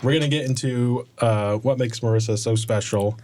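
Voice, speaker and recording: A man's voice: mid-range voice, steady tone, normal speed, mellow. A young adult man hosting a student podcast, teasing his guest with jokes and frequent "um"s. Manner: joking, teasing, hesitant fillers. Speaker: podcast host. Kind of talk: casual chat.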